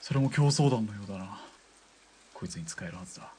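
An actor as a laconic, calculating male spotter with a lowish voice. His delivery is measured and understated, in radio drama style, with faint noise behind it. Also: animated, darkish tone, natural voice. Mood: calm, wry. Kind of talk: Japanese audio drama acting.

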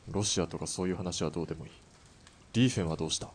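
An actor playing a calm killer in an audio drama, laconic and dry-witted. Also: male voice, low voice, very fast, breathy edge. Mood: serious, intent. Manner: laconic, dry, matter-of-fact threats.

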